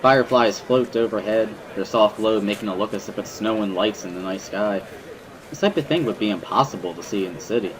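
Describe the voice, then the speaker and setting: A man's voice: fairly low pitch, brisk, a crowd in the background, low-quality recording. A man reading a visual novel as first-person dramatic narration, in an earnest, brooding storytelling manner. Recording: music behind the voice.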